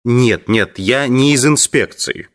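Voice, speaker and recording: An actor playing a polite, educated teacher who collects insects, speaking courteously and patiently in an audio drama. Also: male voice, medium pitch, slow, a bit bright. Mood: polite, reassuring.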